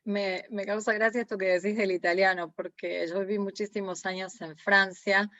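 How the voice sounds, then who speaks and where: Co-host: woman, mid pitch, casual and hesitant, dry and dull call sound. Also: flat delivery, moderate pace, a bit bright, no echo. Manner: conversational, hesitant fillers. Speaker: podcast co-host. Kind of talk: podcast interview.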